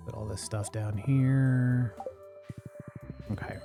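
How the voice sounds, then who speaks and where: A man streaming a farming game, chatting casually into a close boom-arm mic, rambling and apologetic, with a deep voice he keeps low. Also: fairly even intonation, slow pace, gravelly, music in the background. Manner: rambling, self-correcting, apologetic, talks low. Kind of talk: casual live-stream chat while gaming. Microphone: studio mic on a boom arm, close to the face.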